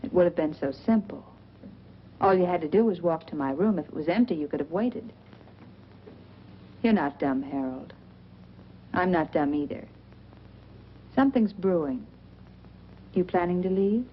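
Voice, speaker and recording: A woman's voice: on the low side, expressive, normal speed, pauses often, dull audio, natural voice. Woman acting as a shrewd noir femme, probing, sardonic, world-weary, 1940s film style, noisy old recording.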